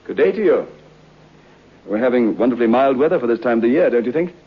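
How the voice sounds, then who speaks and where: Animated, husky young student. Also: male voice, mid-range voice, fast. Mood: courteous. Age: young adult. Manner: polite, hesitant, mildly defensive. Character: polite, earnest young male divinity student. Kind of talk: vintage radio drama dialogue acting. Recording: muffled old radio recording.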